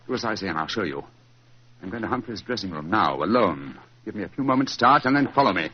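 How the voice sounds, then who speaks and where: An actor playing a brilliant, commanding English detective in 1940s radio style, with a clipped, precise British delivery. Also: male voice, on the low side, animated, fast, slightly rough. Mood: urgent, intent. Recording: muffled, noisy 1940s radio recording.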